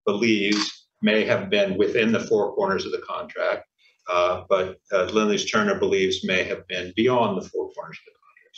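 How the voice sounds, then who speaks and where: Deep-voiced man, distant in a boomy boardroom. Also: fairly bright tone. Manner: hesitant and measured, with frequent pauses. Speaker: project management executive reporting to a board. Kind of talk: formal board meeting report. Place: large indoor conference room. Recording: echoey, dull sound picked up from far off in a boardroom.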